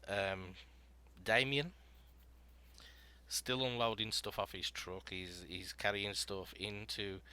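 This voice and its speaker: A man hosting a writing podcast panel, explaining prompts and telling scenes out loud in a casual, rambling way. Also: on the low side, fairly even intonation, takes it slowly, clean tone. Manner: explanatory, storytelling, filler-heavy.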